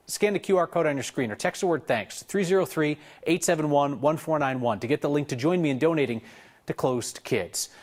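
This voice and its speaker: A man presenting an evening newscast, conversational and wry, with personal opinions mixed into the scripted copy. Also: medium pitch. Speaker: news anchor.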